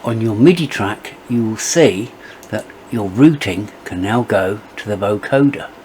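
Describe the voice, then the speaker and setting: A middle-aged man narrating a step-by-step software tutorial as its presenter. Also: fairly low pitch, lively intonation, a little slow, a little hiss or hum, rough recording.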